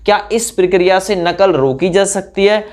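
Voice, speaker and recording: A man's voice: high voice, moderate pace, a little mellow. A man presenting an exam update, explaining step by step with frequent check-in tags.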